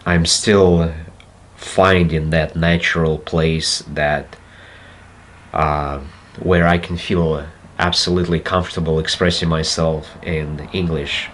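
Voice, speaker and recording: A man with a Russian accent, a native Russian speaker, talking slowly and reflectively to camera and pausing to search for words. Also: rumbling low, little pitch movement, a bit bright, slight background noise. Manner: slow, deliberate, searching for words with pauses. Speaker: self-development video host. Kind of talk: slow reflective monologue to camera.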